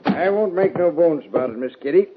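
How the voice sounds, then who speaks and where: A man's voice: on the high side, expressive, noisy background, lightly acted. Folksy sheriff on tinny radio man acting. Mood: polite, businesslike. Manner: folksy drawled phrasing, deliberate. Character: folksy, suspicious, plain-spoken male western sheriff. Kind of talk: 1950s western radio drama acting. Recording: thin, narrow-band old radio recording.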